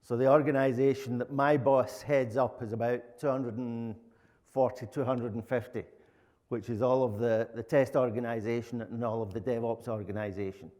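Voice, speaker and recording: A man's voice: mid-range voice, fairly even intonation, moderate pace, a little mellow. A middle-aged man with a Glaswegian Scottish accent, giving an informal, plain-spoken conference presentation. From Glasgow, Scotland. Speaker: conference speaker, lead software architect. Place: conference room, indoors. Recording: echoey hall with long reverb.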